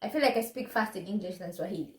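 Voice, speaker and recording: A woman's voice: medium pitch, echoey room. A young woman from Tanzania, chatting playfully and cutting in while reacting to a video. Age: young adult. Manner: quick, playful interjections. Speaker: co-host. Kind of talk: casual chat reacting to a video.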